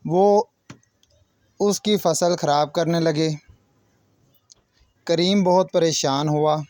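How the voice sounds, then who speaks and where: A man's voice: on the high side, fairly even intonation, darkish tone, no room sound. A man narrating a moral story for children like a teacher, speaking directly to young listeners in short separated phrases. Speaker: children's storyteller and channel host.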